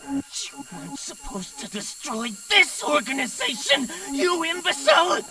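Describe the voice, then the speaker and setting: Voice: high voice, sing-song, pushed and bright, far from the mic, faint music behind, exaggerated voice. An actor voicing a sinister, coaxing hypnotist villain for a cartoon-style game, drawing the words out hypnotically. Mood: angry, exasperated. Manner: slow, drawn-out hypnotic delivery.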